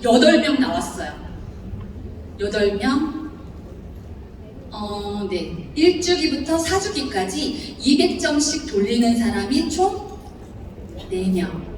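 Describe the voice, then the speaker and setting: A woman's voice: on the high side, very animated, slow pace, pauses often, a little mellow, a little hiss or hum. A woman with a Chungcheong accent giving a seminar lecture to a large audience, often laughing and putting questions to the crowd. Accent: Chungcheong Korean. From Seocheon, South Chungcheong, Korea. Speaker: seminar speaker. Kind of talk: seminar lecture explaining a bonus chart. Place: large lecture hall before about 800 people. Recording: echoey hall recording from far off.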